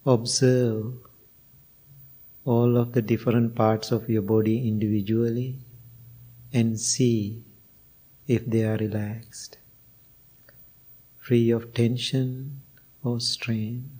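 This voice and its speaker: Slow, mellow man guiding meditation. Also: mid-range voice, little pitch movement, slightly rough. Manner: slow, unhurried phrases with long pauses. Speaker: Buddhist monk and meditation teacher. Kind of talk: guided meditation and spiritual talk.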